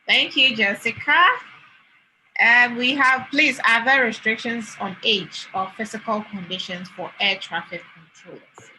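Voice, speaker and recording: Very bright, sharp woman host. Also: fairly high pitch, fairly even intonation, unhurried, slight background noise, rough recording. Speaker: host relaying audience questions. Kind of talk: live moderated question session.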